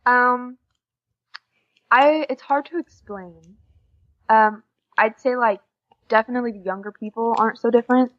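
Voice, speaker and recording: A woman's voice: fairly high pitch, normal speed, pauses often. Teenage American woman, somewhat dull recording. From Oklahoma, United States. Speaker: interview guest, exchange student. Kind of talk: casual interview answers. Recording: dull lo-fi podcast audio.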